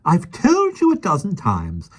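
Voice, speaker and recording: A shrill, breathless elderly lady, put on by a man reading a children's storybook, fussing and insisting in a voice pitched far above his own. Her tone rises and falls sharply, full of fluster. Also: wildly swooping pitch, a little slow, breathy edge, exaggerated voice. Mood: excited. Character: fussy, excitable elderly lady, Miss Lark.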